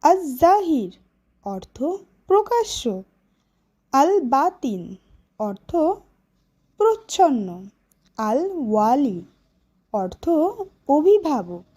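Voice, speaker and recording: A woman plays a cartoon schoolteacher with a high, mellow voice. She leads the lesson in a slow, deliberate and instructive way, made for young learners. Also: very animated, full character voice. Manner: deliberate, clearly instructive delivery. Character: female schoolteacher leading a children's class. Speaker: teacher. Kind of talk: educational cartoon lesson, recitation and questioning.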